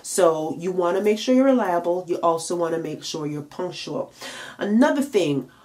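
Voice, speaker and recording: A woman's voice: on the low side, lively intonation, normal speed, a little mellow. A tired, congested woman giving chatty career advice to camera as a makeup and nail artist, with plenty of fillers. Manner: chatty, frequent fillers, emphatic rhetorical questions. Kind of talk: casual advice vlog to camera.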